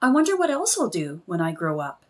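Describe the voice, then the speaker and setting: A woman's voice: fairly low pitch, huge pitch swings, brisk, darkish tone, voice pushed down. A woman voicing a curious, earnest girl of about ten in a storybook, with emphatic, wide-eyed questioning. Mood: wondering.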